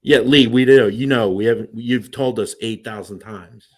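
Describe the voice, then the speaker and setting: A man's voice: mid-range voice, moderate pace. A man in casual crosstalk chat, teasing and goading another speaker.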